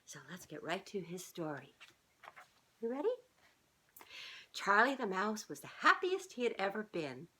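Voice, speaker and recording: A middle-aged woman reading a children's storybook aloud in an expressive, sing-song way, as if speaking directly to young listeners. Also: medium pitch, a little slow, long pauses, husky. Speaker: children's author reading her own book aloud. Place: small living room, on a couch indoors.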